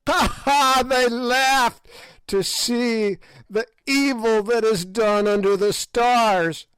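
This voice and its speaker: A man's voice: slow, a bit bright, clear, resonant tone, dry recording, heavily acted. A man voicing an ancient, evil witch woman who shrieks and cackles in old-fashioned speech. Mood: ranting. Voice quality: shrill, cackling.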